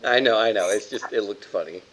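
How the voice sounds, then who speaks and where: Low-voiced man joking at a game. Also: brisk, fairly bright tone. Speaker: tabletop game player. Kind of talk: casual joking chat. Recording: dull audio with faint hiss.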